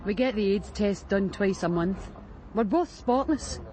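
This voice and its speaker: An actress playing a brash, streetwise Glasgow streetwalker in a radio drama, breezy and frank, with a Glaswegian accent. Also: female voice, medium pitch, animated, a little slow, warm tone, natural voice, poor audio. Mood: breezy, confiding. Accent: Glaswegian Scottish. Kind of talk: naturalistic radio drama acting. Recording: faint urban street noise.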